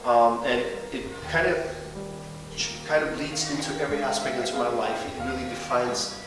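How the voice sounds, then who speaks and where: A man from Turkey, an adult ballet student, speaking in a measured, reflective way with small hesitations in a testimonial interview. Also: medium pitch, fairly even intonation. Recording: roomy hall echo, distant mic, music behind.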